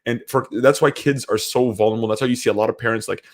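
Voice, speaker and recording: A man's voice: medium pitch, steady tone, fast talker. A man from Syria talking casually and emphatically as an opinionated podcast host, repeating phrases to drive points home.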